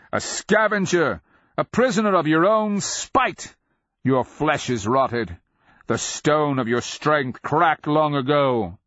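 A man voicing an ancient, half-mad nonhuman warrior king who taunts in loud, declamatory cries for a fantasy audiobook. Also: no room sound, dull audio, exaggerated voice. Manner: high, shouted, declamatory taunting. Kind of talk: fantasy audiobook character acting.

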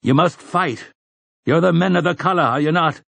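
A man's voice: on the high side, moderate pace, vocal fry, a bit bright, dry recording, voice pushed up. A man voicing a stern old priest in an audiobook, commanding and oratorical, with rustic, archaic turns of phrase. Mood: stern, urgent. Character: stern, weathered old priest rallying frightened villagers. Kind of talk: audiobook character dialogue.

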